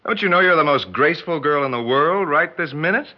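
Romantic young male lead, played by an actor, American, tender and coaxing, very animated delivery, muffled sixties radio drama. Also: a little high, brisk, lightly acted. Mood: warmly persuasive. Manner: earnest, persuasive, tender. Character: ardent, gentle young suitor coaxing his sweetheart. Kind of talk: 1960s radio drama acting. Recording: muffled 1960s radio recording.

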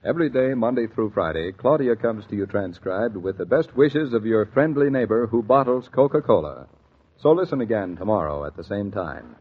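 A man announcing a 1940s radio show, reading a sponsor ad in a smooth, persuasive delivery. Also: fairly low pitch, animated, normal speed. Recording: thin, phone-like 1940s radio transcription.

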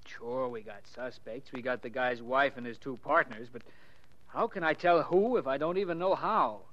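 Guy doing a grumbly sarcastic 1940s radio police sergeant, medium pitch, muffled old sound. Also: moderate pace, fairly bright tone, close to an everyday voice. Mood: exasperated. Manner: gruff, sarcastic. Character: grumbling, sardonic male police homicide sergeant. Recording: dull old radio recording.

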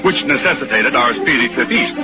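A man's voice: mid-range voice, big pitch swings, fast talker, natural voice. Man acting a grandiloquent old swindler, vintage radio. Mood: wry, confiding. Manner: grandiloquent, formal wording. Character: genteel, roguish old male swindler with a wry temper. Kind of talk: 1940s radio acting, first-person narration and dialogue. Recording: dull 1940s radio recording with music.

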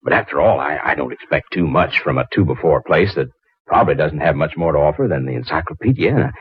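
An actor playing a flustered, condescending out-of-town visitor in a 1940s radio drama, stammering and wordy, on a muffled vintage recording. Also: male voice, very deep voice, lively intonation, fast talker, husky, no room sound, exaggerated voice. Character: flustered, condescending male visitor researching hydrokinetics.

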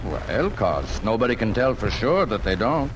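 An actor playing a gentle, wistful middle-aged farmer father in 1940s radio drama style, with a folksy, hesitant manner. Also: fairly low pitch, very animated, normal speed, noisy background. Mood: tender, wistful. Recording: old 1940s radio broadcast with music.

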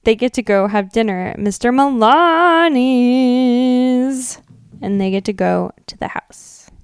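A woman's voice: on the high side, expressive, unhurried, clean tone, dry recording, clean studio sound. An American woman co-hosting a podcast, retelling things casually in informal, slangy speech peppered with "like". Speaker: podcast co-host. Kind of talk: casual chatty retelling and commentary.

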